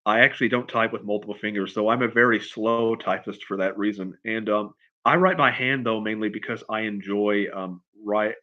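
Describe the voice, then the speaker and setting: A man with a fairly low voice talks off the cuff on a livestream Q&A. He speaks haltingly, circling back and restarting phrases as he rambles through his answers. The audio is somewhat dull and lo-fi. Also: fairly even intonation. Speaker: writer hosting a livestream Q&A. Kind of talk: casual unscripted chat, answering viewer questions.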